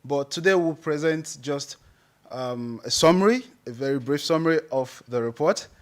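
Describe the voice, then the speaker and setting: A man's voice: on the high side, normal speed. A man introducing a survey at a conference, hesitant and with many fillers. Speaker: presenter. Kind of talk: conference presentation.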